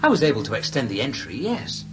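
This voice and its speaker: A man's voice: mid-range voice, huge pitch swings, fast, over music. A man playing a blithe, matter-of-fact alien travel writer in a radio comedy. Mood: breezy. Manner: blithe, unruffled.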